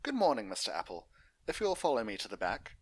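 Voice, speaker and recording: A man's voice: mid-range voice, huge pitch swings, quick pace, breathy edge, voice pushed up. Man voicing a formal, reassuring lady pony doctor. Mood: courteous. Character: polite, professional female pony doctor in a clinic.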